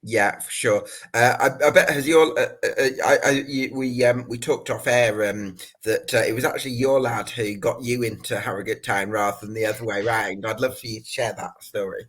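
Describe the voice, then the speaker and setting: A man's voice: mid-range voice, steady tone, moderate pace, hardly any pauses, fairly bright tone. A man hosting a fan podcast, asking questions with lots of fillers and restarts. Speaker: podcast host. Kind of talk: casual podcast interview.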